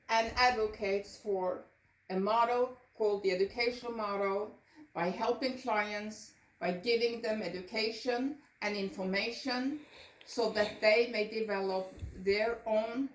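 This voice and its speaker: An older woman from Norway gives a prepared personal presentation in a deliberate, earnest way. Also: mid-range voice. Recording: echoey room, distant microphone.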